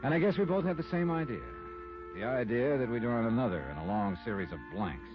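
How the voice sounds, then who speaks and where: An actor playing a dogged, weary but wry investigator in a 1950s radio detective drama, with clipped, punchy phrasing. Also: male voice, medium pitch, expressive, fast, lightly acted. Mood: weary narration. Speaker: lead actor and narrator. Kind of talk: 1950s radio detective acting and first-person narration. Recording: muffled vintage radio recording, music underneath.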